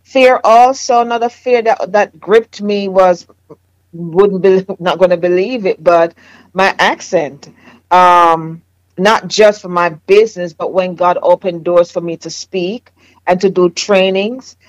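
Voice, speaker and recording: A woman's voice: on the low side, measured pace, dry recording, low-quality recording. A woman with a Jamaican accent, a therapist sharing her faith story in an earnest, reflective conversation. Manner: earnest, reflective, frequent fillers. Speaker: therapist and business owner.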